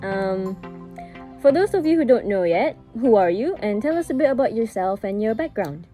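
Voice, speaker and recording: A woman hosting a relaxed podcast conversation. Her voice is dark and mellow, and her tone is friendly and talkative, with laughter breaking through often. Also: a little high, moderate pace, soft background music. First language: English. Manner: chatty and warm, laughing often. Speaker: podcast host. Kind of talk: casual podcast interview.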